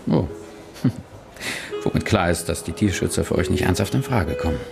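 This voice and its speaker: An actor playing a curious, probing, wryly teasing reporter in a conversational radio drama. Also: male voice, low voice, expressive, moderate pace, gravelly, over music.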